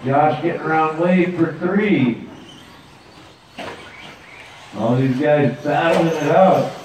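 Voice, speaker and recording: A man's voice: on the high side, takes it slowly, very echoey, recorded from a distance, slight background noise, low-quality recording. A man giving live play-by-play commentary at an outdoor dirt track. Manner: steady play-by-play calling. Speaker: race announcer.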